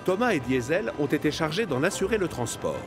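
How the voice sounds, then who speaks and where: A man narrating a children's cartoon in a measured, clearly enunciated storytelling style. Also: on the high side, very animated. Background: music and train sounds.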